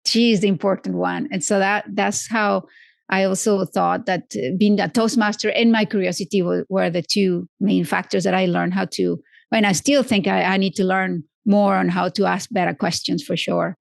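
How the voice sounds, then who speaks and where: A woman's voice: mid-range voice, steady tone, normal speed, vocal fry, dry recording. A woman guest on a podcast, chatting casually with many restarts and self-corrections. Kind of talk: casual interview chat.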